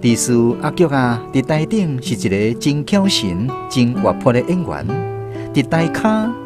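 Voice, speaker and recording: A man's voice: mid-range voice, very animated, measured pace. A man with a Taiwanese accent narrating a radio drama as a storyteller. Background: music.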